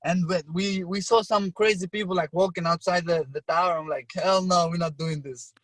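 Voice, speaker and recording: A young man with an Albanian accent, whose first language is Albanian, telling a story as a podcast guest, animated and full of restarts. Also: high voice, a little fast, dry recording, rough recording. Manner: animated, acts out his own past lines with frequent restarts. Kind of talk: casual storytelling.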